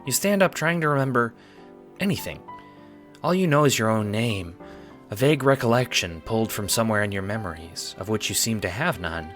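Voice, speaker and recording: A man's voice: lowish voice, animated, fairly quick, fairly bright tone, soft background music. A man reading scripted second-person narration for an audio drama.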